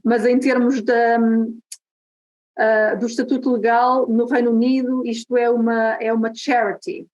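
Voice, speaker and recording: A woman's voice: a little high, little pitch movement, unhurried, darkish tone, rough recording. A woman from Portugal with a European Portuguese accent, presenting her work at an online conference over a video call, friendly and explanatory with frequent hesitations. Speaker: guest speaker.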